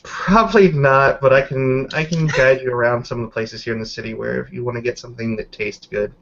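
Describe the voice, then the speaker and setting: A man's voice: medium pitch, steady tone, fairly quick, vocal fry, a bit bright, muffled recording, slight background noise. A man improvising narration as game master of a tabletop roleplaying session.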